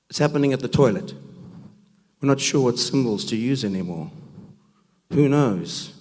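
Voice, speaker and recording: A man with a rough, gravelly voice from a cold, giving a preaching talk at a men's conference, emphatic and full of rhetorical questions. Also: fairly low pitch, darkish tone. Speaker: conference speaker. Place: church hall. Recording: long reverb of a large hall.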